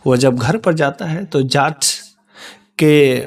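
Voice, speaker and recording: A man's voice: a little high, takes it slowly, soft background music. A man telling a moral folk tale informally, with conversational, repetitive phrasing. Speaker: storyteller.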